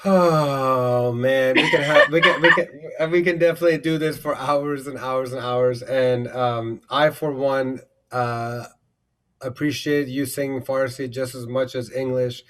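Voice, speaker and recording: Male stream host on a video call; warm praise, loose rambling delivery, filler-heavy, mid pitch. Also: steady tone, measured pace. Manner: effusive, rambling with many fillers and restarts. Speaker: livestream host. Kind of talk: casual livestream chat.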